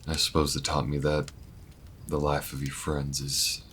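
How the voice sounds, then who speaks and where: A man's voice: very deep voice, completely flat delivery, measured pace, fairly bright tone, a little hiss or hum. A man voicing a laid-back, protective alien gunslinger in an intimate audio roleplay, with folksy, hesitant, reflective speech. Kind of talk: slow-burn audio roleplay, intimate monologue to listener.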